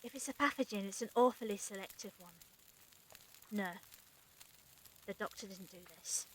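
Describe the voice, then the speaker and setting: A woman's voice: medium pitch, moderate pace, slight background noise, close to an everyday voice, low-quality recording. A woman acting a stern, clinical medic with formal, precise speech in an audio drama. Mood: stern, cold.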